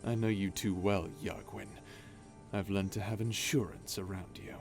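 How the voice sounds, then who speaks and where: A man playing a sinister, taunting space pirate villain in an audio roleplay, talking slowly with menace and mockery. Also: deep voice, fairly even intonation, gravelly, soft background music. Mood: menacing, taunting. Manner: slow, menacing, mocking. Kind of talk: ASMR audio roleplay drama.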